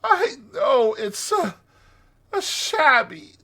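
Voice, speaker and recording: High, sobbing little storybook mole. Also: male voice, huge pitch swings, bright, forceful tone, heavily acted. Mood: tearful, halting. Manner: sobbing, halting, plaintive delivery. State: crying. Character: tearful, homesick, humble little mole. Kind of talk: dramatized storybook reading.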